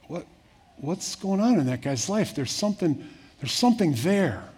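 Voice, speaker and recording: Husky older man preaching earnestly. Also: sits high, big pitch swings, normal speed. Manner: earnest, builds to emphatic peaks then drops soft. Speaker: pastor. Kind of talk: sermon.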